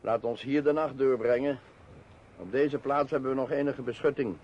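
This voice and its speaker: Man as devout mountain guide, earnest, formal, humble dignity, radio drama, muffled sound, clear background noise. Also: medium pitch, steady tone, moderate pace, darkish tone, lightly acted. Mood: earnest, cautious. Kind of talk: radio play acting. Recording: muffled noisy old radio recording.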